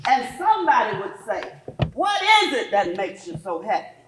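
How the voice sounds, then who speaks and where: A woman's voice: mid-range voice, sing-song, normal speed, background noise, natural voice. A woman playing a fervent, exhorting church preacher of the civil-rights era, delivering a staged sermon in rhythmic, building phrases. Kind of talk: staged readers theatre sermon. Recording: echoey hall.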